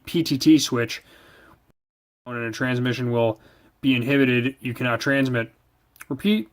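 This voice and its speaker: A man with a mid-pitched voice reads instructions aloud as a product reviewer. His delivery is halting, with repeated words and small stumbles. He slips between recited manual text and casual, offhand commentary.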